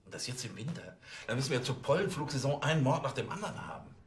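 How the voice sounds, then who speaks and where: Ein Schauspieler spielt einen polternden, leicht verwirrten Polizeichef mittleren Alters, der stockt und viele Füllwörter benutzt. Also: male voice, mid-range voice, sing-song, fast talker, a little hiss or hum, lightly acted. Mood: grumbling. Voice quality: blustery, stumbling, with many fillers. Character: grumbling male police chief, middle-aged, blustery. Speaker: police chief.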